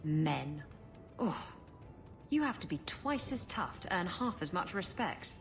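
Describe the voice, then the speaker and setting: A brash, flirty female pirate captain talks in a saucy, playful way with a very lively melody. Faint music runs behind this video game dialogue. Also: lowish voice, measured pace, gravelly, heavily acted. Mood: brash, bawdy. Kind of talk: video game cutscene acting.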